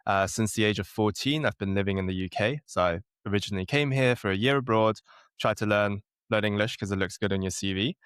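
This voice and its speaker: A man in his late twenties from Germany is a guest on a podcast, telling his story in a relaxed, self-deprecating way. His voice sits fairly low. It is recorded close and dry. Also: quick pace, clear, resonant tone, no room sound. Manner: relaxed, self-deprecating, chuckling now and then. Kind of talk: casual interview chat.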